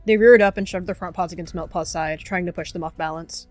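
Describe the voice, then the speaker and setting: A woman's voice: lowish voice, quick pace, a little mellow, no echo, soft background music. A woman narrating characters' actions in a descriptive roleplay game.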